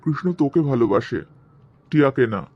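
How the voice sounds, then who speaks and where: A man's voice: medium pitch, animated, a little fast, dry recording, muffled recording, natural voice. A man playing a protective, insistent middle-aged father in a cartoon drama. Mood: stern, hurt. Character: protective, stern father, middle-aged, guilt-tripping his daughter.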